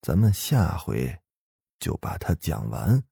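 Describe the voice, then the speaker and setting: A man's voice: low voice, slow, creaky, slightly rough, dry recording, studio quality. A man hosting and narrating a ghost story in a dramatic, suspenseful manner. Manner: suspenseful, expressive. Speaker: host and storyteller.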